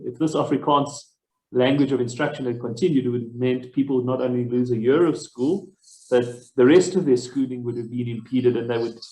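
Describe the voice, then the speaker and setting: A man from South Africa, the interviewer, explaining background to an online audience in a measured way with frequent fillers. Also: mid-range voice, darkish tone, roomy sound, poor audio.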